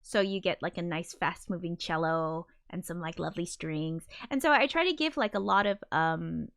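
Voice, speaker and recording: A woman composer chatting casually on a livestream, reading viewer comments aloud; she has a high voice and rambles with frequent restarts and giggles. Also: normal speed, creaky, a bit bright. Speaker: composer streaming live.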